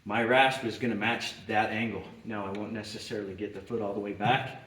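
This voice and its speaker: A man's voice: on the low side, flat delivery, fairly quick, fairly bright tone. A middle-aged man working as a farrier, patiently explaining a hoof trim while he works bent over a horse's foot in a barn. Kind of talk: hands-on trimming demonstration. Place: roomy barn with concrete floor. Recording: echoey barn.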